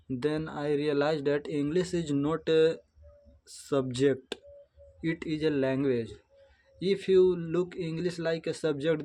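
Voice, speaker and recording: A young man from India, a native Hindi speaker, talking slowly in learner's English with an Indian accent and many restarts and self-corrections. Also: on the high side, a little mellow. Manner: halting, slow, frequent restarts and self-corrections. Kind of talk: unscripted practice monologue.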